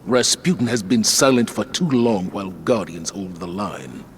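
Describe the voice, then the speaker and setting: Guy doing a serious commander, slow and authoritative, lowish voice. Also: animated, a little hiss or hum, lightly acted. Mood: stern. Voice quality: measured, authoritative. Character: grave, stern male military commander.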